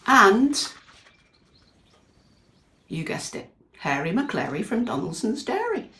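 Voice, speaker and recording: In an echoey room, a British woman reads a children's story at an unhurried pace. Her pitch swings widely as she performs the lines with expression. She chats to her young listeners and asks them questions like a teacher at storytime. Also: huge pitch swings, sharp tone. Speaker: teacher reading a picture book to young children. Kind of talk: animated children's storytime read-aloud with chatty asides.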